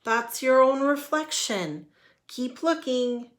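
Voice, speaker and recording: Storybook elephant leader, female reader: male, patient, kindly teasing, gently in charge, slow delivery. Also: high-pitched, animated, pitched above the performer's own voice, heavily acted. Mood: patient, explaining.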